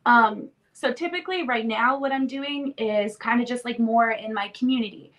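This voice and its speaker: A woman's voice: on the high side, moderate pace, a bit bright. A woman in her twenties, sniffly from a cold, chatting casually as a podcast guest with lots of "like" fillers. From born in Romania, raised in Canada. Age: 20s. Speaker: interview guest.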